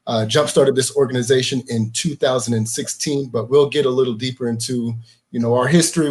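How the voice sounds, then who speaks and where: A man who co-founded a nonprofit, speaking earnestly and at a measured pace with frequent fillers on a live video panel. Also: mid-range voice, darkish tone. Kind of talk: casual panel talk.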